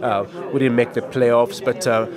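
A Ugandan man in his thirties answers questions haltingly, with many uhs and ums. He speaks at a middle pitch into a handheld mic. Behind him, a small crowd murmurs in an indoor room. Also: little pitch movement. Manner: hesitant, many fillers and restarts. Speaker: college soccer coach. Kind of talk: casual interview. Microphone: handheld mic held by the interviewer.

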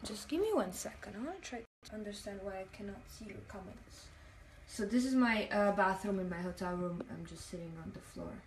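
A woman's voice: mid-range voice, animated, brisk, a little hiss or hum. A young woman from Italian-speaking Switzerland, whose first language is Italian, chatting casually on a livestream while sitting on a hotel bathroom floor and reading comments aloud. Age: young adult. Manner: chatty, reads comments aloud, many fillers. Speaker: livestream host. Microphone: phone held at arm's length, talking to a mirror. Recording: echoey tiled bathroom, phone mic at a distance.

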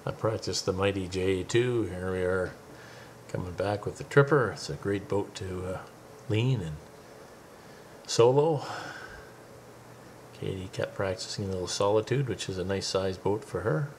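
Easygoing low-voiced dad narrating home video. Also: male voice, unhurried, a little hiss or hum. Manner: easygoing, chatty, with fillers. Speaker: father filming a family outing. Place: outdoors by a lake.

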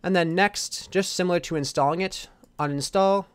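A man's voice: sits high, normal speed, clean tone. A man narrating a casual step-by-step computer tutorial as its host.

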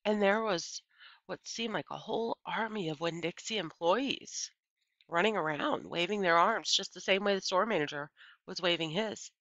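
A middle-aged woman with a lowish, bright voice reads a children's story aloud. She phrases it deliberately and with emphasis, as a teacher does. The recording is a bit dull and lo-fi. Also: no echo. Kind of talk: expressive read-aloud of a children's novel.

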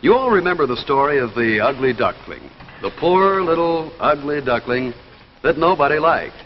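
A man's voice: a little high, animated, moderate pace. An American man in his thirties delivering a scripted 1950s television commercial. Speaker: commercial pitchman. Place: television studio display counter. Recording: dull, noisy 1950s TV soundtrack.

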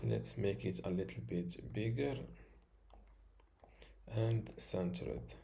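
Slow, low-voiced man teaching software. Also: creaky, noisy background. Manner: slow, step-by-step instructions with fillers. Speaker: tutorial host. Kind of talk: screen-recorded software tutorial.

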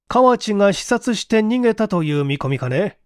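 A man voicing a composed middle-aged police investigation chief who reasons aloud with measured authority in an audiobook. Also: high-pitched, big pitch swings, smooth, dry recording, clean studio sound, voice pushed up. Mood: reasoning. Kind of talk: audiobook character acting.

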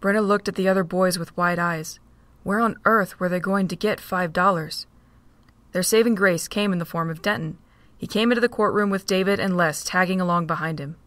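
A woman narrating a fan audio drama in a steady reading voice. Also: on the low side, fairly quick, no room sound. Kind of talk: storybook narration.

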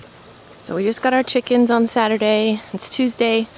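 A woman's voice: a little high, little pitch movement, moderate pace, darkish tone, slight background noise, low-quality recording. Chatty woman touring her garden. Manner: chatty and upbeat, talking to the camera while working outdoors. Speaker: gardening vlogger. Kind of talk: casual narrated garden tour. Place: outdoor backyard garden. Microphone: handheld camera mic. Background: faint insects and crickets.

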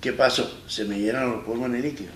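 An Argentine man in his fifties speaks plainly and haltingly, restarting his phrases as he tells his story. His voice sits at a middling pitch and is heard from a distance in an echoey studio, with a faint hiss underneath. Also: steady tone. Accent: Argentine Spanish. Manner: plain-spoken, halting and retelling with many restarts. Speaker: interview guest. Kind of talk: personal story in a studio interview. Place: small studio set, seated in armchair. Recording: roomy echo, distant mic, faint hiss.

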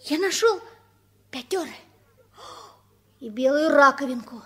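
A woman's voice: high voice, animated, unhurried. An actress playing an earnest, hopeful nine-year-old orphan boy in a radio play, childlike and wistful. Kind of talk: 1960s Soviet radio play acting.

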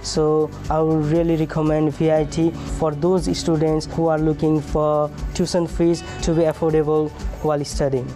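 A young man from Nepal recommends his school in a short testimonial. His voice is warm and a touch high, and soft guitar music plays behind. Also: level tone, moderate pace, few pauses. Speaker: recent graduate. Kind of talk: scripted testimonial.

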